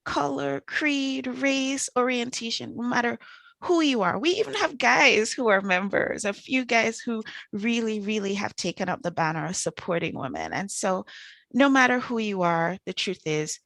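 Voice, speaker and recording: A woman's voice: fairly high pitch, moderate pace, creaky, a bit bright, no echo. A woman with a Trinidadian accent hosting an online networking call in an effusive, enthusiastic way. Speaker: host. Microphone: video call.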